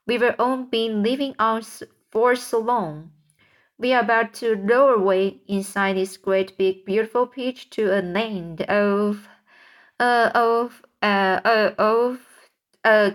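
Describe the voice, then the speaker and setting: A woman voicing a kindly, motherly female ladybug in a storybook reading, reassuring and halting now and then. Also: mid-range voice, a bit bright. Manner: reassuring, hesitant with fillers.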